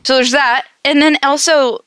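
A woman's voice: sits high, steady tone, moderate pace, fairly bright tone, no echo, clean studio sound. A woman co-hosting a podcast in casual chat, talking expressively and emphatically with plenty of slang. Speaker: podcast co-host.

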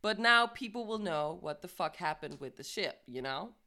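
A woman's voice: fairly low pitch, expressive, normal speed, pushed and bright. A woman co-hosting a podcast, reading news casually, stumbling over words, swearing and laughing often. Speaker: podcast co-host.

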